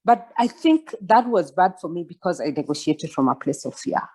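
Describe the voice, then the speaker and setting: Reflective woman in her forties, low voice. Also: lively intonation, moderate pace, dark tone, breathy edge. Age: 40s. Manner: reflective, many fillers and restarts, asks listeners to follow along. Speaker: guest speaker. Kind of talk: mentoring talk on a video call.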